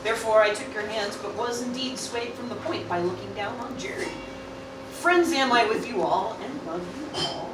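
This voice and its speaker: A woman's voice: on the high side, moderate pace, lightly acted. A woman playing a grieving cartoon wife and mother in an amateur Shakespeare parody radio play, declaiming theatrically. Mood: measured. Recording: echoey room, distant mic, clear noise.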